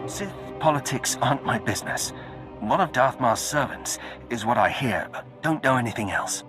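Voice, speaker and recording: Actor as a brusque mid-pitched Imperial messenger. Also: male voice, animated, normal speed, over music, close to an everyday voice. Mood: brisk, urgent. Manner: clipped, terse, businesslike. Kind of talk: scripted game cutscene acting.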